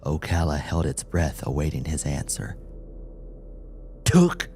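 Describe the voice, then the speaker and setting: A man's voice: rumbling low, measured pace, dark tone, slight background noise. A man reading a scripted dramatic short story as an audiobook narrator.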